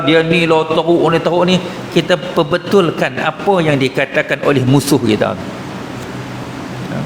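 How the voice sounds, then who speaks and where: A reverberant hall recording with clear background noise carries a man's fairly high voice. He speaks with a Kedah Malay accent, teaching religion in a colloquial, explanatory way and dropping in short questions to his listeners. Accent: northern Malay, Kedah. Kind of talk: mosque lecture explaining a religious text. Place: mosque hall.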